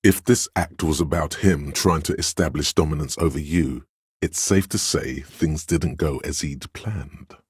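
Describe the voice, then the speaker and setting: A man voicing a domineering inner voice in a video game, commanding, measured, grave and pompous in delivery. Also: slightly rough, no echo, studio quality, full character voice. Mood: stern, judging. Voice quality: very deep, resonant. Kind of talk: scripted game narration, inner-voice commentary.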